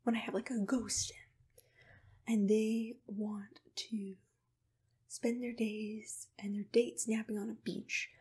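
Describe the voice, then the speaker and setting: A woman playing a gentle, soothing monster matchmaker in a whispered ASMR roleplay, unhurried and reassuring. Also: medium pitch, exaggerated voice. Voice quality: breathy, whispery. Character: soft-spoken, accommodating female monster matchmaker. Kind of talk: ASMR personal-attention roleplay, mostly whispered.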